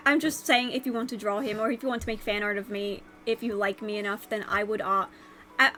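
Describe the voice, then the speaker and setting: High-voiced young woman with a stuffy cold. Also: a bit bright, a little hiss or hum. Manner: rambling, halting, with frequent fillers and restarts. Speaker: vlogger. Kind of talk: rambling casual vlog. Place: her bedroom.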